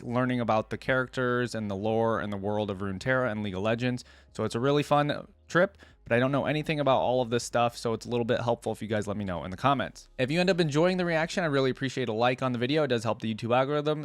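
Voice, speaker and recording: A man with a mid-range voice recorded dry and close, as a reaction host. He moves between reading text aloud and casual commentary, thinking out loud and often tagging his thoughts with a quick question. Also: mid-range voice, no room sound.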